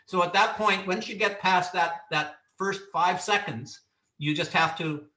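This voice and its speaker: A man teaching referee trainees in a loud, confident, instructive way, with casual asides and demonstrated calls. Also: high voice, a little slow, roomy sound, recorded from a distance, low-quality recording. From Canada. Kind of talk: training lecture.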